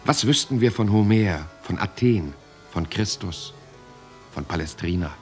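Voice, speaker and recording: Deep-voiced reflective narrator. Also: male voice, animated, unhurried, faint music behind. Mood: reverent. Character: reflective male narrator recalling his restless youth. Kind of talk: dramatic first-person narration, German radio play.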